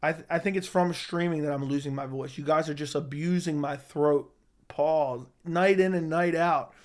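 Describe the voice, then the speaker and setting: A slightly hoarse young man hosting a casual live chat, answering viewers with blunt opinions. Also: fairly high pitch, steady tone, normal speed. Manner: opinionated, talks straight to chat. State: slightly hoarse, losing his voice. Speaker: livestream host answering chat.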